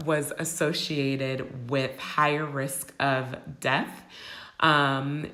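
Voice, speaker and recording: A woman with a hoarse, raspy voice from a cold, hosting a casual talk and explaining a study with many fillers. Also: low voice, fairly bright tone. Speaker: host. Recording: echoey room.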